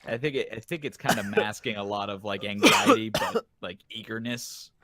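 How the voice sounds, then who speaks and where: A man's voice: on the low side, lively intonation, moderate pace, pushed and bright, no echo. A man co-hosting a casual podcast, speaking in an agreeable, tentative way. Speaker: podcast co-host. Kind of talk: casual chat.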